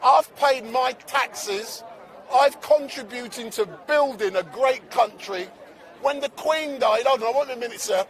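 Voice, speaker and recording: High-voiced Barbados-born street interviewer. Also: male voice, unhurried. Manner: chatty, teasing, interrupts to keep the floor. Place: outdoors at a city street protest. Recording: outdoor recording with crowd around. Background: crowd noise.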